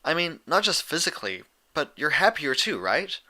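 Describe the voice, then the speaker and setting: A bookish young mare from a storybook, voiced by a man, earnest and slightly awkward. She explains eagerly in a lifted, animated voice with a crisp bright edge. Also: fairly high pitch, moderate pace, voice pushed up, heavily acted. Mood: thoughtful.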